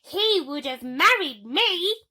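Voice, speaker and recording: A woman's voice: very high voice, expressive, takes it slowly, sharp tone, voice pushed up, exaggerated voice. A woman voicing a bitter young stepsister in a storybook, shrieking and grizzling with stretched-out words. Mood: grumbling.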